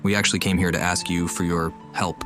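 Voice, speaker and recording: A man's voice: deep voice, little pitch movement, a little fast. A man doing casual gameplay commentary and reading game dialogue aloud. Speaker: video game player. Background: game music.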